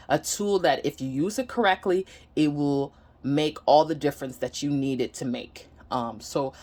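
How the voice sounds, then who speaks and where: A woman with a Nigerian accent giving a casual, candid personal update to camera at home, earnest and a bit hesitant. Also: low-pitched. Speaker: vlogger. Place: home room.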